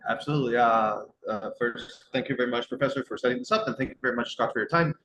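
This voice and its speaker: A man's voice: mid-range voice, quick pace. A man from Costa Rica, an MBA student, introducing himself with polite thanks. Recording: echoey room with faint noise.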